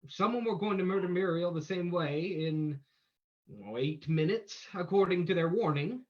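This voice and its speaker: A man playing a confident, commanding gentleman sleuth in an old-time radio mystery. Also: high voice, moderate pace, muffled recording, lightly acted. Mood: calm, plotting.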